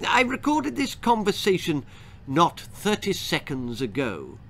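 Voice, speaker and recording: Guy putting on a scheming storybook goblin, eager, pitched way up. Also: wildly swooping pitch, unhurried, fairly bright tone, full character voice. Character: male gum goblin, scheming intelligence chief. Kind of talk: children's storybook character voice.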